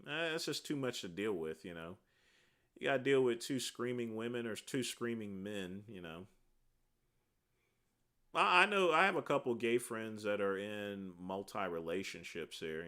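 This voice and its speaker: A man hosting a casual livestream, answering viewer questions one after another in a rambling way, with a deep voice. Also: pushed and bright. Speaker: livestream host answering chat.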